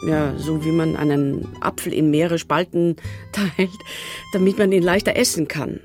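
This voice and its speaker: An actress playing a blunt, doubting friend in a radio drama, husky and halting. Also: female voice, sits low, fairly even intonation, a little mellow, soft background music. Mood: exasperated, lecturing. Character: blunt, doubting best friend with a guilty secret. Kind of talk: radio drama acting, dialogue and monologue.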